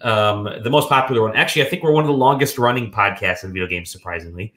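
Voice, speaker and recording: A man hosting a casual video game podcast from home, sick and a bit nasal, joking and clearing his throat often. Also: on the low side, fast, a bit bright. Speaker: podcast host. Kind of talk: casual chat. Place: home room.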